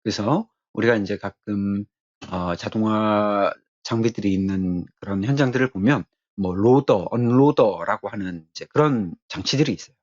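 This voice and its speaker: A man giving an explanatory technical lecture as an instructor, speaking haltingly and repeating his key phrases. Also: on the low side, darkish tone, no room sound, highs cut off.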